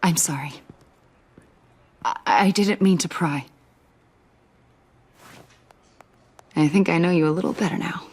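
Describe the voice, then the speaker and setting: An earnest agent in a game, husky low voice, probing and confiding. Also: female voice, normal speed, a little mellow. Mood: apologetic. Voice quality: husky, breathy. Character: eager, probing young female intelligence agent. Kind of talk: video game acting.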